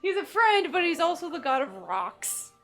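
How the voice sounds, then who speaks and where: A woman's voice: extremely high pitch, sing-song, moderate pace, a bit bright, soft background music. A woman giving casual, playful commentary while streaming a game. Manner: giggly, playful.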